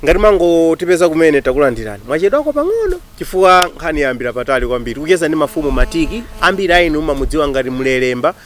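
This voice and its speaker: Malawian male talk host, somewhat high voice, brisk conversational delivery, light outdoor noise. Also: very animated, faint music behind. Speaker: interview show host. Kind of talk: conversational hosting with frequent backchannels.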